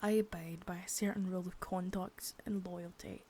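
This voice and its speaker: A woman's voice: lowish voice, steady tone, moderate pace, a little mellow. A young woman playing a threatening, profane second-in-command crime boss in a whispered ASMR roleplay, close to the mic. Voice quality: husky whisper.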